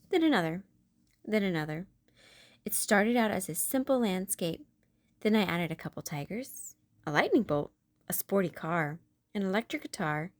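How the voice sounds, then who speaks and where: A woman voicing an anxious, self-doubting cool bean in a children's storybook, telling the tale with dramatic, expressive delivery. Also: medium pitch, dry recording. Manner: dramatic, animated, sighing.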